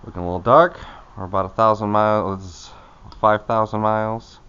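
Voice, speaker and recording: A man's voice: on the low side, steady tone, moderate pace, a bit bright, smooth, highs cut off. A man casually talking himself through a hands-on task as a vlogger, in short, loose, muttered phrases. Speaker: vlogger doing his own car maintenance.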